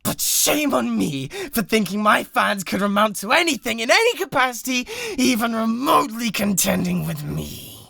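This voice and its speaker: A man's voice: very high-pitched, big pitch swings, moderate pace, vocal fry, few pauses, bright, forceful tone, no echo, studio quality, exaggerated voice. Man acting as a volatile British yandere streamer, wildly swooping. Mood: furious, ranting. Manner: stammering, defensive, theatrical. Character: bratty, unhinged, obsessive yandere male livestreamer. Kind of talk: dramatic audio roleplay monologue addressed to viewers.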